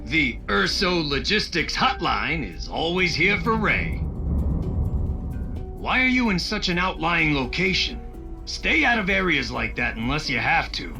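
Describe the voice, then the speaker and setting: An actor voicing a breezy, wry animal logistics operator, a loyal helper in a video game, with a folksy drawl. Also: male voice, on the high side, sing-song, measured pace, creaky, music in the background, full character voice. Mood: breezy, cautioning.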